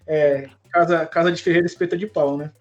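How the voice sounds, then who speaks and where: A man's voice: a little high, fairly quick. A man with a Brazilian Portuguese accent talks through his career on a podcast in a loose, slangy way. The sound is a little muffled, with faint music underneath. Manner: relaxed, slangy, lots of fillers. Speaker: podcast co-host. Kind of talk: casual podcast chat.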